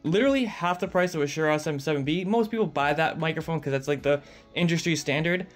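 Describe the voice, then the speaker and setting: A man with a higher-pitched voice chatting casually and enthusiastically as he tours his streaming setup, in a slightly echoey home room on a studio microphone. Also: fast, soft background music. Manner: upbeat, chatty, enthusiastic about gear. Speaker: streamer and video creator. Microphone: studio dynamic mic on arm.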